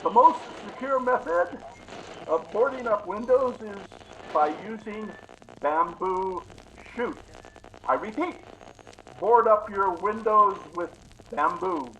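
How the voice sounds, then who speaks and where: A man's voice: sits high, lively intonation, sharp tone, full character voice, low-quality recording. A man playing a grave, emphatic emergency announcer reading an old-time radio bulletin, with noise behind the voice. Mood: urgent, solemn. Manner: deliberate, solemn, emphatic. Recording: clear background noise on the recording.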